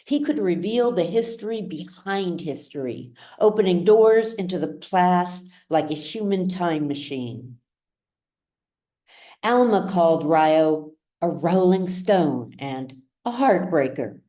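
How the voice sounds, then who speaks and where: A woman reading literary fiction aloud as a narrator, with measured, dramatic storytelling. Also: on the low side, expressive, mellow. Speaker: author reading their own novel. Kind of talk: scripted audiobook narration.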